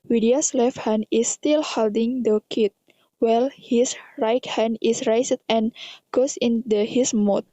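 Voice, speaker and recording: A young woman with an Indonesian accent narrates an amateur radio drama. Her voice is fairly high and mellow, with a stilted read-aloud delivery. The recording is dull. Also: steady tone, takes it slowly, dry recording.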